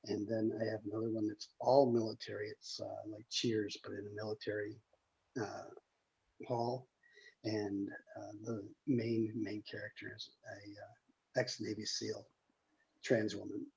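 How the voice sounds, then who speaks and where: A screenwriter hosting casually, talking in a hesitant, rambling way with a husky, gravelly voice over a dull call recording. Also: medium pitch, flat delivery, unhurried, darkish tone. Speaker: screenwriter and table read host. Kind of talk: casual chat.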